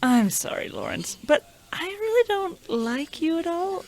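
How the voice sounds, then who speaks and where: A woman's voice: very high-pitched, very animated, unhurried, full character voice. A woman playing a sweet, fawning, lovestruck heroine, gushing in an old-time radio comedy melodrama. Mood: apologetic.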